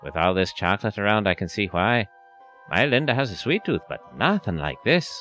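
Male cop for radio play: take-charge, avuncular, patronizing, very wide swooping pitch. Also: very animated, brisk, fairly bright tone, soft background music. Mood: puzzled, joking. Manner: avuncular, informal. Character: folksy, patronizing male police officer, briskly take-charge. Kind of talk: audio drama acting.

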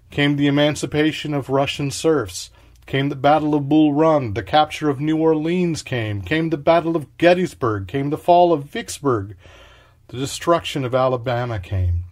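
A man's voice: on the high side, darkish tone. A man reading a literary short story aloud in a declamatory, incantatory way, phrase by short phrase. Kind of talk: dramatic literary reading, scripted narration.

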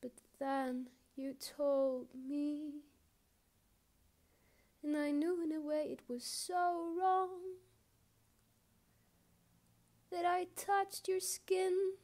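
Woman doing a sad lonely balloon monologue, really high voice, super slow and halting, poetic, longing. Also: darkish tone. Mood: reflective. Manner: halting, deliberate phrasing with long pauses.

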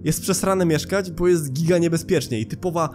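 A man's voice: on the high side, brisk. A young man gives casual video commentary as host, speaking in slangy, colloquial language straight to the listener into a close mic. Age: young adult. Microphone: close studio mic on a boom arm. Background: music.

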